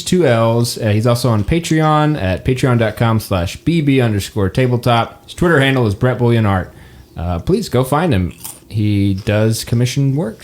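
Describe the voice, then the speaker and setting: A man runs a tabletop game as its host. His delivery is highly animated and theatrical, moving up and down in pitch. He sounds playful and improvises as he goes. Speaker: game master and podcast host. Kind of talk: improvised tabletop game narration and casual chat.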